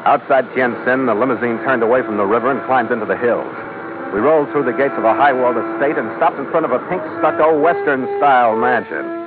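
An actor playing a tough, wry American sea captain in a vintage radio adventure, speaking in a clipped, hardboiled manner. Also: male voice, mid-range voice, fairly quick, lightly acted. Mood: vivid, brisk narrating. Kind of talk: 1940s-style radio acting and first-person narration. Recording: tinny vintage radio, loud music behind.

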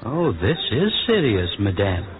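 Casting: lovestruck young gentleman, earnest and polite, wildly varied intonation, vintage radio melodrama, narrow-band sound. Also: on the low side, big pitch swings, measured pace. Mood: smitten, concerned. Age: young adult. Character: eager, lovestruck young gentleman, gullible and courteous. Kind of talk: 1940s radio drama acting. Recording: muffled, narrow-band old radio recording.

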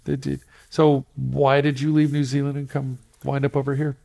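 A man's voice: medium pitch, monotone, normal speed, creaky, mellow. A man hosting an interview, asking curious follow-up questions in short prompts. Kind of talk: casual interview.

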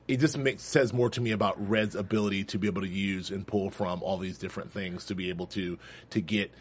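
Husky-voiced man hosting a lively chat. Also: sits low, a little fast. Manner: animated, laughing, frequent restarts. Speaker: host. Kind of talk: casual panel chat about a TV episode.

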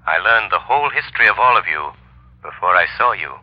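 A man playing a commanding, all-knowing avenger in a 1930s radio drama, speaking gravely and deliberately through a telephone-like filter. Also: deep voice, lively intonation, no echo. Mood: stern, certain.

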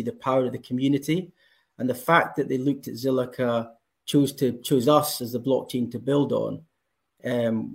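A Scottish man speaks with a gravelly edge and an enthusiastic push. He talks at a middling pitch, breaking his sentences up with ums. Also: darkish tone. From Scotland. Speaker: company representative. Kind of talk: panel interview answers.

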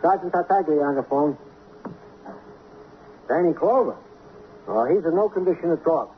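An actor playing a fussy, devoted police sergeant sidekick in a radio drama, fretful and comically earnest. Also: male voice, a little high, expressive, normal speed, narrow, tinny audio, a little hiss or hum, lightly acted. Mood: fretful, concerned. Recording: thin, band-limited old radio recording.

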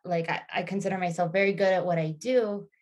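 Low-voiced woman talking reflectively. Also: steady tone. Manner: thoughtful, halting with many restarts and fillers. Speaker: podcast guest. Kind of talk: casual interview conversation.

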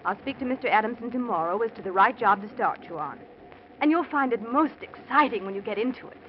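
Woman playing prim wife, animated, old radio sound. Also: fairly high pitch, fast talker, lightly acted. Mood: coaxing, firm. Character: prim, practical wealthy widow, newly married wife. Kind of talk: 1940s radio drama acting. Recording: noisy muffled old radio recording.

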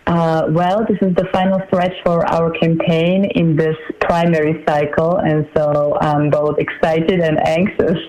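A woman in her forties from Slovakia, a political candidate giving an interview in a measured, halting way with many fillers. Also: sits low, level tone, darkish tone, poor audio. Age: 40s. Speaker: congressional candidate.

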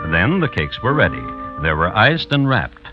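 An actor playing a dry, wry crime-history raconteur, narrating in a literary 1950s radio style. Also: male voice, fairly low pitch, big pitch swings, normal speed. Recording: muffled old radio recording, music behind. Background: prominent music.

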